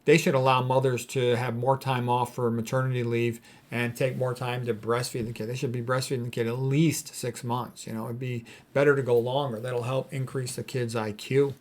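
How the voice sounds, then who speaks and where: A man's voice: medium pitch, flat delivery, normal speed, few pauses. A man speaking as a doctor in an informal lecture, reading out quotes and commenting on them in a blunt, emphatic, opinionated way. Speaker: doctor commenting on diet and medicine.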